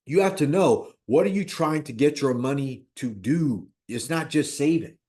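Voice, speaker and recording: A man of fifty talking casually about personal finance as an investor, animated, repeating points and checking in with "right?". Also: mid-range voice, moderate pace. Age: 50s. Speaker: real estate investor.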